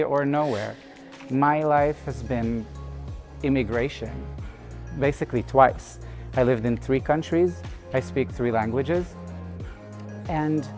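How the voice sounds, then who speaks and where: A man from Israel, an artist speaking thoughtfully and in measured phrases about his own work. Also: medium pitch, a little mellow. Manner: thoughtful, measured, with pauses and restarts. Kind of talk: reflective personal statement.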